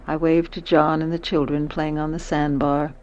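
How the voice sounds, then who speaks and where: A woman's voice: low-pitched, level tone, normal speed, a little mellow, highs cut off, lightly acted. An actress playing an introspective, wondering middle-aged woman who narrates her own story in a radio play, reflective and self-questioning. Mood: wondering, uneasy.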